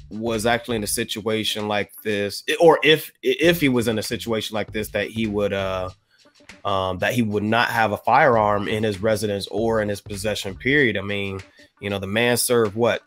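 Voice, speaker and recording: An American man giving his opinion on a podcast panel in a measured, halting way with formal wording. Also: fairly low pitch, a bit bright. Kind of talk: panel discussion, offering opinion. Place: indoor room on a video call.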